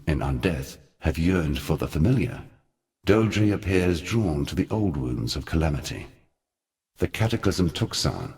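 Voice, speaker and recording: An actor playing an ancient, brooding god and grave mentor in a video game, with solemn, eloquent, theatrical delivery. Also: male voice, rumbling low, darkish tone. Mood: grave, instructing. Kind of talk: theatrical scripted game dialogue.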